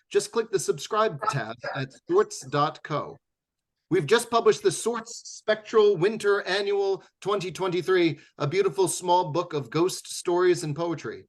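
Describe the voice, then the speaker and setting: A man with a slightly high voice serves as the announcer of a live radio drama show. He reads scripted closing announcements in a formal, theatrical manner that recalls old-time radio.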